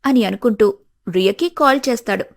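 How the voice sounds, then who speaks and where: A woman's voice: medium pitch, brisk, gravelly. A woman reading scripted narration as the storyteller of a family tale.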